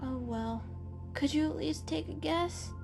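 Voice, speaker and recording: Woman voicing a timid little boy kitten, high-pitched. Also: unhurried, creaky, over music. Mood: earnest, pleading. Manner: hesitant, wide-eyed, earnest. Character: young male kitten, small, round, timid and earnest. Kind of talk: dramatic children's story reading.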